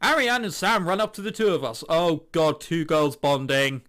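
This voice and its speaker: A man's voice: on the high side, lively intonation, normal speed, a bit bright. A man doing a playful let's play, reading a visual novel aloud with dramatized lines and jokey, sweary asides. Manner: acts out dialogue in exaggerated voices.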